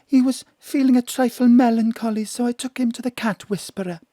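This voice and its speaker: A woman's voice: fairly high pitch, brisk, dark tone, no room sound, clean studio sound, close to an everyday voice. A woman playing a genteel, earnest lady client in an audio drama, with a prim manner. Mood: earnest, concerned. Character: anxious, genteel lady client fretting over lost pet.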